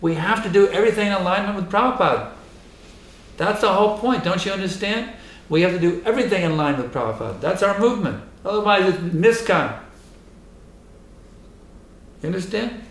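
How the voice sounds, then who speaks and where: A hoarse man giving a temple lecture and answering questions, emphatic and insistent, repeating his points. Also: high-pitched, a little fast, fairly bright tone. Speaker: spiritual teacher giving a lecture. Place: temple hall. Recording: echoey hall.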